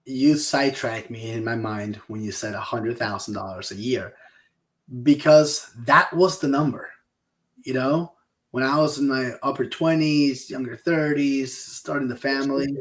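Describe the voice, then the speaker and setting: A man's voice: medium pitch, a little slow. A man in his forties with a Peruvian accent, talking casually as a podcast co-host. He sounds upbeat and motivational, cheering his listeners on. His voice sits right in the middle of the male range. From Peru. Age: 40s. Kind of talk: casual business podcast chat.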